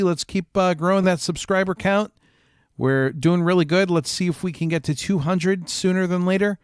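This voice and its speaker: A man's voice: fairly high pitch, clear, resonant tone, dry recording, clean studio sound. A man speaking casually as a streamer, reviewing a game and addressing the audience. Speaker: streamer and show host.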